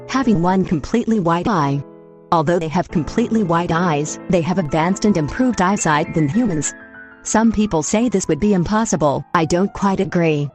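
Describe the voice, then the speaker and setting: A woman's voice: on the low side, darkish tone, close to an everyday voice. A woman playing a television news reporter, delivering a scripted report in a matter-of-fact, informative way. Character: matter-of-fact female TV field reporter on eerie incidents.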